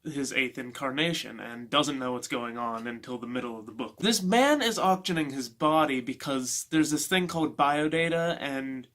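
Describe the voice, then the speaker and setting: A casual, halting male book vlogger. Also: medium pitch, fairly bright tone. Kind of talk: casual unscripted review chat.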